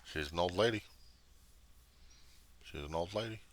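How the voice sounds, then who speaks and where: A middle-aged American man giving a casual, rambling monologue, halting as he thinks aloud in plain words. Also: low voice, a little hiss or hum. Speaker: vlogger.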